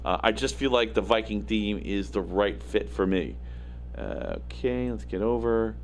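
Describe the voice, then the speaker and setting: A man hosting a casual show from his home studio, with a gravelly, raspy, slightly nasal voice, rambling with plenty of fillers and self-deprecating asides. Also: on the low side, lively intonation, moderate pace. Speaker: host. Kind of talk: casual livestream talk. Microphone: studio microphone. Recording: faint hum in the electronics. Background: faint electrical hum.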